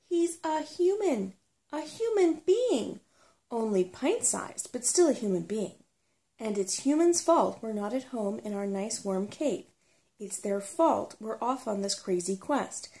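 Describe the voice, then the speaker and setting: A woman voicing a bad-tempered, scornful young female brownie in a storybook, gruff and grumbling. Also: on the high side, lively intonation, unhurried, warm tone. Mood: indignant. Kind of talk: whispery storybook character reading.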